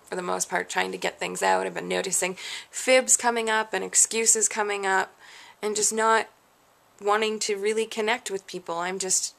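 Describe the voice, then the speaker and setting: A woman's voice: mid-range voice, fairly even intonation, moderate pace, vocal fry, fairly bright tone. A young adult woman vlogging a frustrated, rambling rant, questioning herself as she talks. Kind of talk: candid rant to camera.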